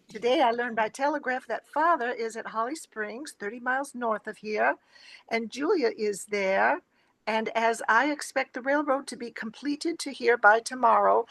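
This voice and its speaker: A woman playing a nineteenth-century lady in a historical play reading, with measured, literary delivery. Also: a little high, gravelly, fairly bright tone, no echo, heavily acted, poor audio.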